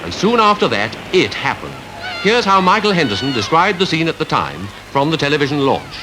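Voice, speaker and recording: A man with a British Received Pronunciation accent reading scripted 1950s newsreel narration in a formal, measured, rhetorical way. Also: on the high side, sing-song, background noise. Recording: 1951 archive newsreel with music behind.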